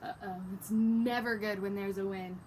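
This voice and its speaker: A woman's voice: mid-range voice, fairly even intonation, moderate pace, darkish tone. A woman teacher giving an encouraging read-aloud lesson, explaining and speaking directly to children. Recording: faint background noise.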